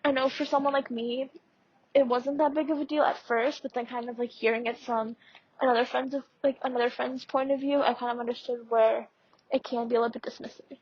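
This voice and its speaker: A woman's voice: on the high side, little pitch movement, a little fast, slightly rough. A young woman from India, a student mentor chatting casually in a podcast discussion with lots of fillers. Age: young adult. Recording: dull lo-fi audio.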